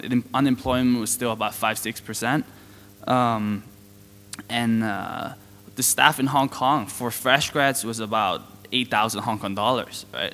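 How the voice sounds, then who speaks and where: A man, giving a halting conference talk with many fillers and light chuckles. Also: medium pitch, pushed and bright, a little hiss or hum. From Denmark. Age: 20s. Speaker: panel speaker. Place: conference hall. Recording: reverberant large hall.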